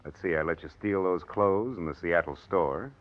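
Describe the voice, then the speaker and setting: Guy doing a smug blackmailer from a 40s radio show, mock friendly, deep-ish voice, muffled old recording. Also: moderate pace, natural voice. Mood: menacing. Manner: taunting, mock-friendly wheedling. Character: menacing, smug male blackmailer, mockingly sweet. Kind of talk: 1940s radio drama acting.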